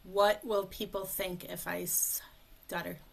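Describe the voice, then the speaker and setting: A woman speech coach leading a guided tapping session, speaking slowly and calmly in short phrases for a client to repeat, over a video call. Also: fairly low pitch, gravelly, a crowd in the background. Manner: slow, calm, leads phrases for repetition.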